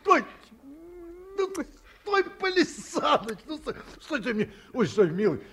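An actor playing an aged academic in a 1950s radio play, gently fussing and soothing an old friend. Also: male voice, extremely high pitch, sing-song, unhurried, slightly rough, exaggerated voice. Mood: flustered. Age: older. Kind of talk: 1950s radio drama acting.

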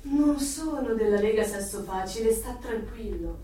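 A woman's voice: on the high side, expressive, moderate pace, dark tone, close to an everyday voice. An actress playing a bold, blunt rebel woman in a dystopian radio drama, teasing and challenging as she speaks. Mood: teasing, reassuring. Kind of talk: radio play acting. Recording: very echoey, distant, faint noise.

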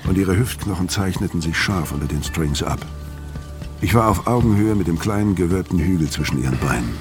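A very deep male voice carries a gruff, world-weary criminal in a noir radio play. He is middle-aged and hard-boiled, speaking tersely and guardedly both in dialogue and first-person narration. Music plays clearly behind him. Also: expressive, brisk. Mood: brooding narration. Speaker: narrator and lead actor.

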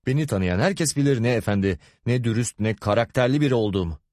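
Exasperated husband in a storybook, male narrator acting: proud, stern, short fuse, lively raised intonation. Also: medium pitch, fast, no room sound, studio quality, pitched above the performer's own voice, natural voice. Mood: furious, proud. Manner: stern, warning, gritted-teeth indignation. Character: hot-tempered, proud, exasperated husband and father.

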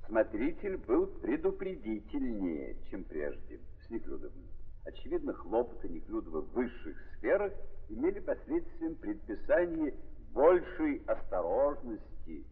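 An actor playing the solemn narrator of a tragic novel in a 1930s Russian radio drama, with declamatory, weighty phrasing. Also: male voice, mid-range voice, wildly swooping pitch, unhurried. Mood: grave, narrating. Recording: muffled 1930s radio recording, long reverb.

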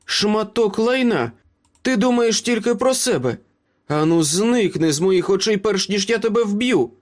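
Man acting a tough, high-pitched angry young woman. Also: very animated, slow pace, heavily acted. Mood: furious. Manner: curt, forceful demands.

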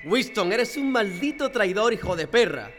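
Male actor as fanatical converted prisoner, radio drama, high voice, emphatic pleading, soft music behind. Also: big pitch swings, moderate pace. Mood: fanatical, threatening. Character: brainwashed male prisoner, fervently devoted to his oppressors. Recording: long hall reverb, faint music behind.

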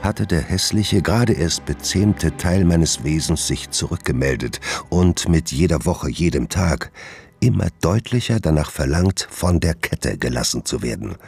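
A guilt-ridden gentleman doctor with an extremely low, creaky voice. He broods in formal, literary phrases, haunted by his dark side, in a radio drama. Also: lively intonation, measured pace, full character voice. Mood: brooding, confessional. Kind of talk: German radio drama acting and first-person narration.

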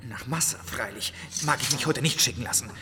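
An actor playing a proud, scornful young count in a classical German radio play, speaking formally with biting irony. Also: male voice, medium pitch, brisk, big-room sound, close to an everyday voice. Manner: curt, biting irony, formal theatrical delivery.

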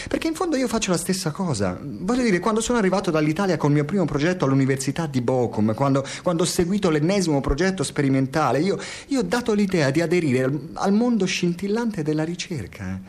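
An actor playing a talkative, insecure intellectual researcher in an Italian radio drama, with an Italian accent, rambling and needling in a naturalistic way. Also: male voice, a little high, very animated, quick pace, hardly any pauses, darkish tone. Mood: earnest, lecturing.

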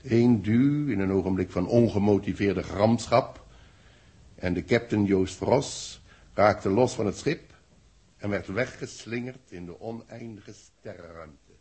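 An actor playing a grave, authoritative boss with a husky voice and solemn dramatic phrasing, in 1960s radio drama style. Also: male voice, fairly low pitch, a little slow, darkish tone.

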